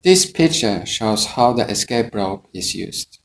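Measured, gravelly male pilot narrator. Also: lowish voice, big pitch swings. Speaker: airline pilot instructor. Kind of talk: scripted instructional narration.

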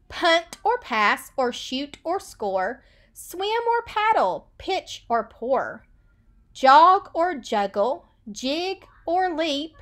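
A woman in her thirties with a high voice, teaching young children through a read-aloud. She takes her time, speaking slowly and stressing key words in a sing-song way. She is recorded on a webcam in a small room. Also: a bit bright. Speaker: elementary school teacher. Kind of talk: read-aloud picture book lesson for children.

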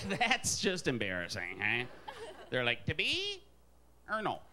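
A man from Wisconsin doing stand-up in a comedy club, with a nasal Wisconsin accent and an animated delivery full of put-on voices. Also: medium pitch, slow pace. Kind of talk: stand-up set. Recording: live club with crowd and noise. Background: audience laughter.

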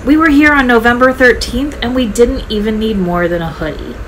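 A woman's voice: a little high, a little fast, a bit bright, a little hiss or hum, poor audio. Upbeat woman narrating a travel vlog. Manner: upbeat, chatty, enthusiastic. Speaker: travel vlogger. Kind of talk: scripted voice-over narration.

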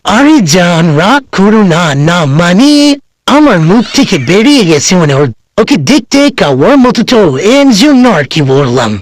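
Man acting a swooping high-voiced fawning old lover. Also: big pitch swings, moderate pace, no echo. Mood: pleading, apologetic. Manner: wheedling, sweet-talking with pet names. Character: fawning elderly male politician lover, pleading and placating. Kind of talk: comic cartoon voice acting.

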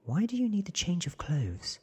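A man voicing an eager, naive, smitten teenage boy from a storybook in a breathy, questioning ASMR whisper. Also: sits high, wildly swooping pitch, moderate pace, pitched above the performer's own voice, full character voice. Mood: eager, curious.

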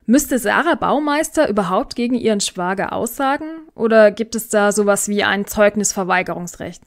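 Woman podcast host, medium pitch, asking prepared questions. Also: moderate pace. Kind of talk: prepared interview questions and moderation.

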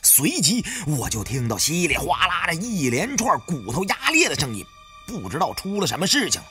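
Very animated male audiobook narrator. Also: a little high, moderate pace, soft background music. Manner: storyteller's expressive delivery. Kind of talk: dramatic scripted first-person narration.

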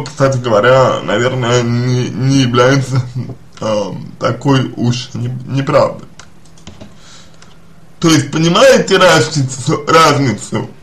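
A man giving unscripted personal advice as a psychologist, speaking deliberately and haltingly, often repeating and rephrasing his phrases. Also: a little high. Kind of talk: spoken advice to a listener, unscripted.

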